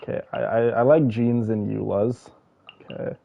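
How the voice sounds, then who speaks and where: Creaky-voiced man chatting over gameplay. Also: on the low side, steady tone, normal speed, narrow, tinny audio, a little hiss or hum. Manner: chatty, rambling, with fillers. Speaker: game streamer. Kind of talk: casual gameplay commentary. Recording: voice over game music.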